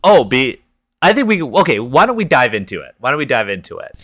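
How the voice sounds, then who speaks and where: A man's voice: mid-range voice, sing-song, fairly quick, fairly bright tone. A man with a Canadian accent hosting a podcast, chatting casually in a candid, informal and reflective way. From Toronto, Canada. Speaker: podcast host.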